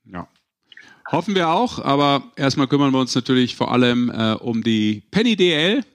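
A man's voice: medium pitch, lively intonation, normal speed. A man hosting a sports podcast, chatting casually in a rambling, colloquial way.

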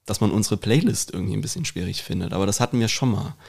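Male co-host, lower-pitched, informal conversational delivery, reworks phrases mid-thought. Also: lively intonation, racing, creaky, a little mellow, husky. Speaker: podcast co-host. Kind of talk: casual podcast chat.

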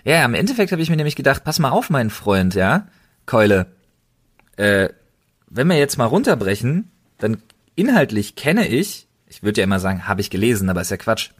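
A man's voice: mid-range voice, very animated, normal speed. A man co-hosting a podcast, chatting casually and colloquially. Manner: colloquial, informal. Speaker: podcast co-host. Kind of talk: casual chat.

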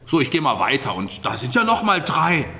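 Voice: medium pitch, very animated, brisk, creaky, pushed and bright. An interviewer in a playful improvised audio play with children, reacting with amazement and echoing their answers in an encouraging way.

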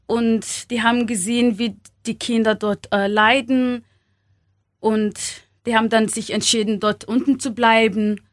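A young woman from Kenya speaking German as a second language, halting with many fillers and small grammar slips, as an interview guest. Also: on the high side, no echo, clean studio sound. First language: not German. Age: young adult.

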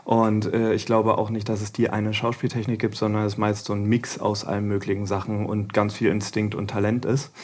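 A man's voice: on the low side, flat delivery, brisk, hardly any pauses, a little mellow. A man from Berlin, a film director guest on a podcast, talking in a rambling, self-correcting way. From Berlin, Germany. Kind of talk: casual podcast chat.

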